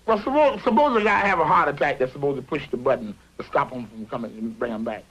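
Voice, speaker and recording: An actor playing an excitable, worrying construction worker who rambles insistently, stammering and repeating himself in conversational cartoon dialogue. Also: male voice, medium pitch, fast, husky, low-quality recording. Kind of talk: improvised conversational dialogue in an animated short.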